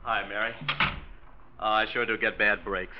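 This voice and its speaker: An American actor playing a scheming young office man in a 1940s radio drama, smooth-talking and falsely casual. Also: lowish voice, steady tone, moderate pace, background noise, close to an everyday voice. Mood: feigned casualness. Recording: dull vintage radio recording.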